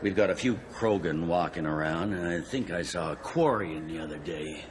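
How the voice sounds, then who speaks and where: An actor playing a gruff, cynical middle-aged police captain in a video game, blunt and sardonic. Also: male voice, sits low, moderate pace, background noise, close to an everyday voice. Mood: gruff, matter-of-fact. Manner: blunt, sardonic, plain-spoken. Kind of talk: video game cutscene acting.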